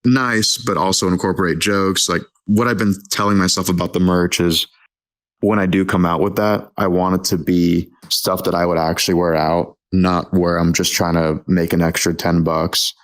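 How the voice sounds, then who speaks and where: A man's voice: sits low, flat delivery, moderate pace. A young man, talking as a podcast guest in a hesitant, casual way with many ums and restarts. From Texas. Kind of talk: casual interview chat.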